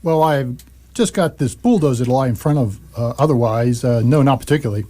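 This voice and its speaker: A man's voice: medium pitch, a little fast, mellow, lightly acted. An actor playing a bewildered, polite, put-upon ordinary man in a radio comedy, often hesitant and exasperated. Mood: mild. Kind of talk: radio comedy drama acting.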